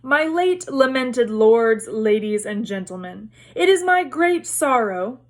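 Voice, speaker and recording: Woman as a stiff, proud gentleman ghost. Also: fairly high pitch, lively intonation, slow. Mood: pompous. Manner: stiff, pompous. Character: proud, easily wounded, formal gentleman ghost.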